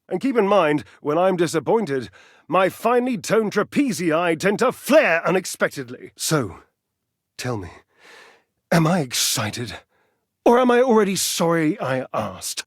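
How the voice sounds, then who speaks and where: An actor playing a vain, athletic talking appliance in a dating game, pompous and petulant, with flamboyant, condescending, wordy delivery. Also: male voice, a little high, dry recording, studio quality. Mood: haughty teasing. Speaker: video game character actor. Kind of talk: theatrical dating-game character acting.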